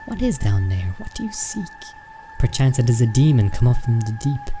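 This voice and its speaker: An actor playing a watchful, suspicious deducer in a radio play, musing in archaic, probing questions. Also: male voice, medium pitch, big pitch swings, moderate pace, natural voice. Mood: puzzling, probing. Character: watchful, suspicious deducer, puzzling out another's secrets. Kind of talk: theatrical radio drama monologue. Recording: music playing loudly behind.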